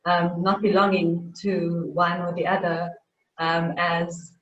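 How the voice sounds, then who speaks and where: A woman speaking on a panel, gentle and hesitant, answering a fellow panelist. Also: on the low side, flat delivery, slow, roomy sound, far from the mic, highs cut off, a little hiss or hum. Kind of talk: reflective panel discussion. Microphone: video call.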